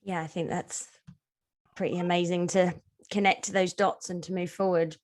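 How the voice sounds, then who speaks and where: Female host, lower register. Conversational interview style for a book launch. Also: flat delivery, normal speed. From born in the UK, lives in Canada.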